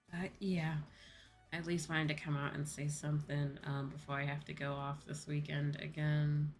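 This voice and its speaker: Sleepy young woman, low-pitched, livestream chat. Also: gravelly. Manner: rambling, drawn-out, repeats phrases playfully. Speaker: VTuber livestream host. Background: background music.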